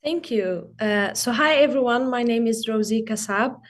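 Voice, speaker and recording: A young adult woman from Syria giving a prepared speech as a guest speaker, hesitant, with frequent pauses and ums. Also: a little high. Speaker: youth coordinator and guest speaker. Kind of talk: prepared speech at an online forum.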